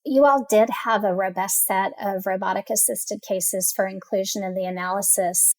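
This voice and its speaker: A woman podcast host interviewing researchers in a measured, articulate way. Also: mid-range voice, no echo, rough recording.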